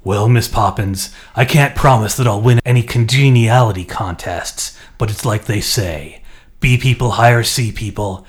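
A man's voice: fairly low pitch, measured pace. A man playing a brash character in a comedy radio play and reading the show credits. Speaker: podcast performer.

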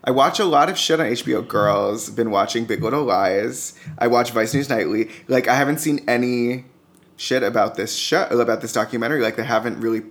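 A man with a medium-pitched voice talks as a podcast guest. He is informal and expressive, retelling things in a loose, conversational way with quoted lines. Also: brisk. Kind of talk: casual chat, anecdote telling.

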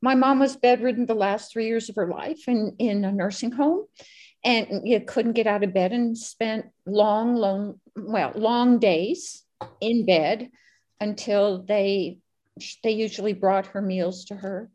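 A woman sharing a personal story in a meditation group, earnest and emphatic, slowing down on key words. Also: mid-range voice, a little mellow.

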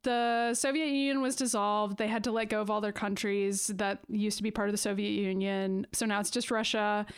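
A woman's voice: medium pitch, steady tone, a little fast, hardly any pauses, clear, resonant tone. A woman in her thirties co-hosting a podcast, recapping a cartoon episode in wry casual chat and laughing often. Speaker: podcast co-host.

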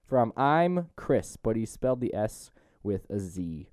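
Animated, low-pitched man, casual podcast host. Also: unhurried, no echo, clean studio sound. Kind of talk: casual joking podcast chat.

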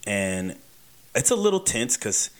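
Guy with a lowish voice telling a work story, acts out the back and forth, animated. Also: measured pace. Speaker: beer delivery storyteller. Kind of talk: casual anecdote telling.

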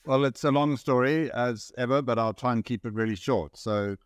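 A middle-aged man from Zimbabwe, a company CEO explaining his business in measured, steady answers as an interview guest. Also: medium pitch, clean tone, no echo, clean studio sound.

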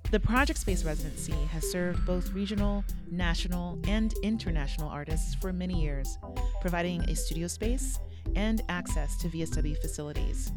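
A man reading a scripted podcast introduction in a measured way. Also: high-pitched. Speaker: podcast co-host and curator. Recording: music bed behind voice.

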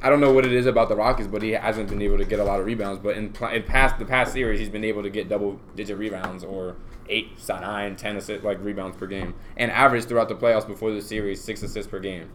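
A man's voice: on the low side, fairly even intonation, fast talker. A man co-hosting a podcast, debating sports casually and repeating his phrases to hold the floor. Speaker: podcast co-host. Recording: slightly noisy room.

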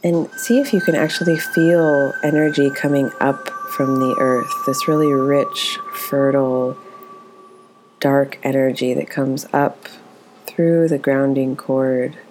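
A woman leading a guided meditation as its teacher, speaking in a gentle, soothing, unhurried way. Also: a bass voice, steady tone, a little hiss or hum, low-quality recording.

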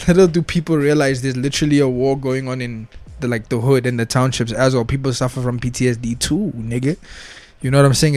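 A young man, giving casual, excited reaction commentary full of slang and swearing. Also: medium pitch, no room sound. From South Africa. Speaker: reaction video host.

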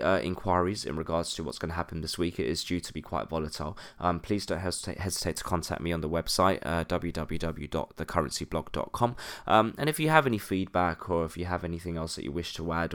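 A man's voice: low voice, quick pace. A man with a stuffy, congested voice hosting a solo podcast about currency markets, explaining steadily with frequent "um" fillers. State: congested, stuffy nose from a cold. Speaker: podcast host, currency analyst.

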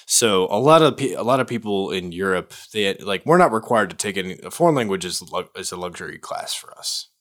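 A man from the Chicago area with an American accent talks as a podcast co-host. His voice sits a bit low. He explains things conversationally, circling back and repeating himself as he makes a point. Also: animated, a little fast, hardly any pauses, a bit bright.

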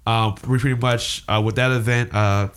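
A man's voice: medium pitch, fairly even intonation, normal speed, a bit bright, clean tone. A man co-hosting a podcast, wheezy from a cold, talking in a laid-back, joking way with a lot of cursing. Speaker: podcast co-host. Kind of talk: casual podcast banter.

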